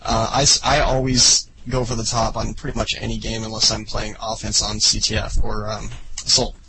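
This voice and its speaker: Bright-voiced man chatting casually. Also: fairly low pitch, monotone, fairly quick, slight background noise, rough recording. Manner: rambling, laughing, many fillers. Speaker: podcast co-host. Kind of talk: casual gaming podcast chat.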